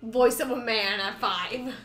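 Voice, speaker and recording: A woman joining a let's play as a guest, teasing and giggling through quick quips. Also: on the high side, fairly even intonation, fairly bright tone, echoey room, faint music behind. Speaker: guest co-commentator. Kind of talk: casual banter over gameplay.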